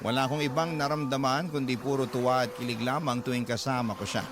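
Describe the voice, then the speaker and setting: A man's voice: mid-range voice, steady tone, fast, over music, natural voice. A man with a Filipino accent playing a tender-hearted letter sender, narrating a love story in a sentimental, confiding radio-drama style. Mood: fond. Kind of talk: scripted first-person story narration.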